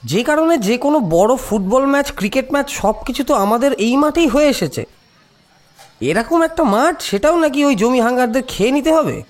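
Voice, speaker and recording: Audio drama: indignant local man, very high voice, animated swings, emphatic. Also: moderate pace. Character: indignant neighbourhood man lamenting the threatened playground. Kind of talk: Bengali audio drama acting.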